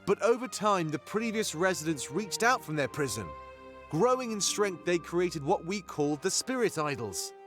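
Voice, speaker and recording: An actor playing a fervent rebel leader in a fantasy video game, giving grandiose, oratorical speeches. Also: male voice, high-pitched, lively intonation, normal speed, a bit bright. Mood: earnest, rousing. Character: fervent male rebel leader, an anthropomorphic animal rallying his fighters. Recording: music behind the voice. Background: music.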